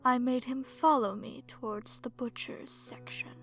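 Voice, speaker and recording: A woman playing a seductive, smug and predatory vampire in an ASMR horror story, speaking in a sultry, breathy way that slips into whispers. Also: on the high side, steady tone, a little slow, soft background music. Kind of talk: ASMR horror story narration in first person.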